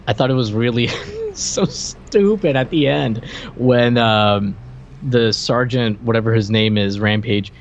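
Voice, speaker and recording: A man co-hosting a podcast, giving opinions in informal, halting chat as he thinks aloud. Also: medium pitch, sing-song, a little hiss or hum. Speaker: podcast co-host. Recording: dull, band-limited lo-fi voice track.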